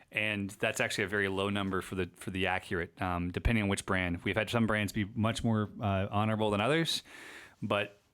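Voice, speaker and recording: A man's voice: medium pitch, fairly bright tone, smooth. Man hosting a casual livestream Q&A. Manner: chatty, upbeat, rambling with restarts. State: losing his voice. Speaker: livestream host and shop owner.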